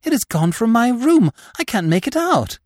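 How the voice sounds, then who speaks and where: Guy doing a jumpy obedient young nephew, storybook style, anxious, voice lifted high, dry recording. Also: very animated, measured pace, warm tone, breathy edge, no echo, heavily acted. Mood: dismayed. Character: pale, nervous young nephew, obedient and easily dismayed.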